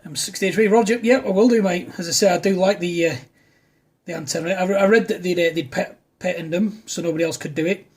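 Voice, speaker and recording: A man's voice: high voice, fast, breathy edge. A man, an amateur radio operator, talking in a casual chatty style to a fellow operator.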